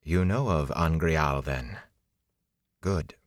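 Man reading a weary calm sorceress, deep voice unchanged, measured. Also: dry recording, studio quality. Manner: composed, measured.

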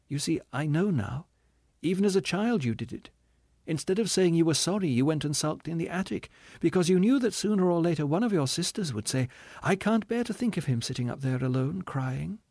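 Gentle pleading woman, soft and raised in pitch, as a male reader puts her on, dry sound. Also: husky, no room sound. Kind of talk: audiobook character reading.

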